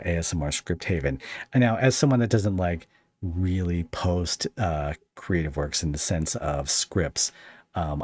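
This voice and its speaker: A man hosting a casual interview, talking in a rambling, halting and jokey way. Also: deep voice, a little mellow, slightly rough. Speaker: podcast host interviewing a guest.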